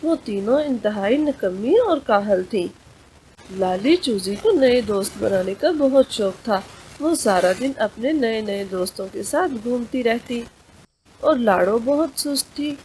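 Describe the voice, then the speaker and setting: Female children's storyteller, high register, very measured pace, noisy recording. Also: animated, darkish tone. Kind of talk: scripted children's story narration.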